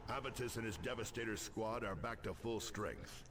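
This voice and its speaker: An actor playing a stern space marine commander, authoritative and formal, in a sci-fi military game briefing. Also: male voice, on the low side, normal speed, far from the mic, background noise, lightly acted. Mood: grave, commanding.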